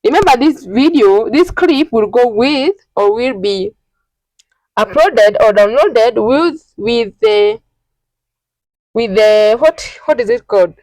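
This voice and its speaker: A woman with a fairly high voice hosts an informal tutorial, walking through each step. She speaks in a halting, repetitive, instructional way, and the sound is dry and close. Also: expressive, a little mellow, dry recording, low-quality recording. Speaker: tutorial host.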